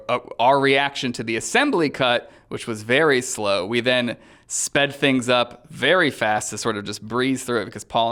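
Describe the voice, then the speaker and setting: A man with a clear, resonant voice of average pitch talks casually as a filmmaker being interviewed. He tells stories in a chatty way, voicing other people's lines as he goes. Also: fairly bright tone. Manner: chatty, acts out quoted dialogue. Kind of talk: casual animated interview storytelling.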